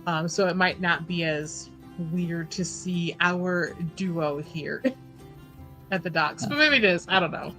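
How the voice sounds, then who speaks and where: A woman's voice: lowish voice, takes it slowly, fairly bright tone, faint music behind. A woman chatting casually as a player in a tabletop roleplaying game, informal and thinking aloud, with the odd swear word.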